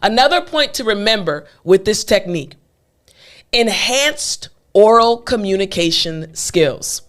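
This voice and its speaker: A woman teaching an English lesson, speaking straight to her learners in an upbeat, emphatic way with deliberate enunciation. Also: mid-range voice, lively intonation. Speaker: English teacher with an online academy. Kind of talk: upbeat scripted lesson talking to viewers.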